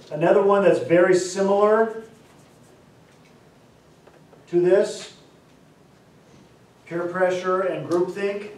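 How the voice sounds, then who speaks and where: A man's voice: fairly high pitch, a little mellow, recorded from a distance, a little hiss or hum. Man lecturing in an echoey classroom. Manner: explains with repeated examples, stressing key terms slowly. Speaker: instructor. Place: classroom, indoors. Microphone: far camera.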